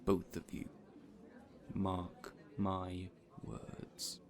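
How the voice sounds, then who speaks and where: A man playing a cold, contemptuous supervillain in an audio roleplay, speaking in a haughty, deliberate, threatening manner. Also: low voice, completely flat delivery, gravelly, a crowd in the background, exaggerated voice. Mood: menacing. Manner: menacing, slow, haughty, deliberate.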